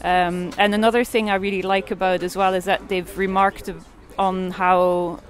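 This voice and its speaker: A woman from Belgium in her forties answers interview questions about her art and photography. She talks haltingly, with frequent fillers and restarts. Her pitch stays level, with very little rise and fall. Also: flat delivery. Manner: hesitant, many fillers and restarts. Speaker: artist and photographer.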